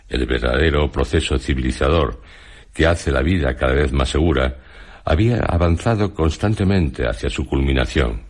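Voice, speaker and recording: Solemn time traveller in a radio play, super low voice, measured and reflective. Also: male voice. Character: male time traveller, reflective, grave witness of a far future. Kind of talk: dramatized literary monologue in a radio programme.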